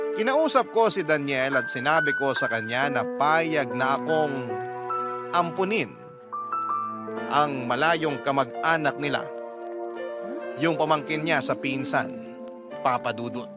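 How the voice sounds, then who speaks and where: A man narrating a heartfelt letter as a radio storytelling host, in an earnest, confiding dramatic style. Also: medium pitch. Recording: loud music bed under narration. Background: loud background music.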